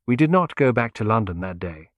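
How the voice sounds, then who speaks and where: Dry, measured male storyteller. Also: lowish voice, very animated, no echo. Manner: measured, literary delivery. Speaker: audiobook narrator. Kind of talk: scripted first-person mystery narration.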